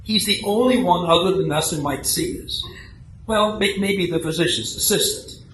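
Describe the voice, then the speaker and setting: A man's voice: sits high, normal speed, fairly bright tone. A roomy, noisy recording of a man acting as a defensive radio-comedy husband. He is earnest and fussy, stammering and doubling his words as he pleads his case. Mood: defensive, pleading. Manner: stammering, pleading, repeats himself. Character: earnest, defensive, health-obsessed hypochondriac husband. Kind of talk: comic radio-play acting. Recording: roomy, echoey live recording, clear background noise.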